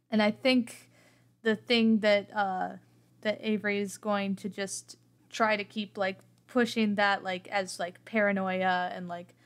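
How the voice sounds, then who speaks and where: A woman playing a tabletop game, improvising her character's actions as she thinks aloud in a halting way. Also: mid-range voice.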